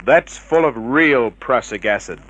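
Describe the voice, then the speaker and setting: An actor playing a tough, cynical private investigator in a 1950s radio crime drama, clipped and hard-boiled. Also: male voice, medium pitch, expressive, a little slow, a bit bright, no room sound. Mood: confrontational. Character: tough, cynical male private investigator narrating his case.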